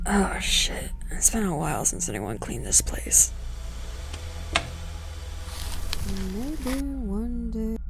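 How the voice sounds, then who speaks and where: A woman's voice: fairly high pitch, gravelly, heavily acted. A woman playing a cheeky, blunt, sweary teenage daughter in an audio drama. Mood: startled, angry.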